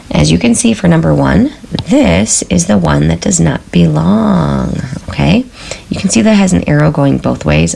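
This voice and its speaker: Hoarse, low-voiced woman teacher. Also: darkish tone, slight background noise. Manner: patient, checks in with frequent "okay?". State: hoarse from a sore throat. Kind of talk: recorded remote math lesson.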